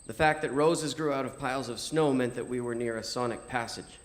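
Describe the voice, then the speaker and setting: A man reading a first-person story as narrator in a measured, theatrical, declamatory manner. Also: medium pitch. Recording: music behind the voice. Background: music.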